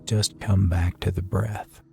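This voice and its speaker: Male, low-pitched, gravelly creak, soothing and unhurried, guided meditation narration, long pauses. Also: little pitch movement. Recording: soft music behind the voice. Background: faint meditation music.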